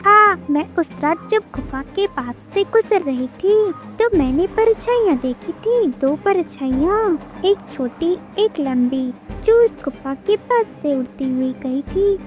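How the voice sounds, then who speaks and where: Woman voicing a timid, high little bird. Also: animated, unhurried, few pauses. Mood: timid, confessing. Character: timid, frightened little female bird witness. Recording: music behind the voice.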